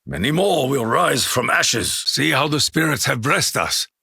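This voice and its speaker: An actor playing a proud, honor-bound Japanese warrior in a video game, with a Japanese accent, gravelly husky voice and solemn, formal, declamatory delivery. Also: male voice, medium pitch, sing-song, a little slow, bright, forceful tone, no echo, studio quality, heavily acted. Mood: grim, solemn. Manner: solemn, declamatory, formal clipped phrasing with dropped articles. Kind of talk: theatrical video game voice acting.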